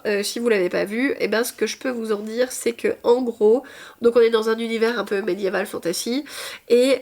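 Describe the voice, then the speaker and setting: Nasal, stuffy-nosed woman chatting. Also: a little high. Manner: rambling, hesitant, self-mocking, many fillers. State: stuffy nose from a cold. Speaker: booktuber. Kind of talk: casual reading vlog chat.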